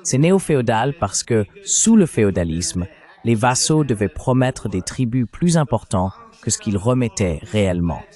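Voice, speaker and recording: A man's voice: on the low side, expressive, normal speed, a little mellow. A man delivering scripted political and economic commentary in a formal, didactic manner. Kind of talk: scripted analytical narration.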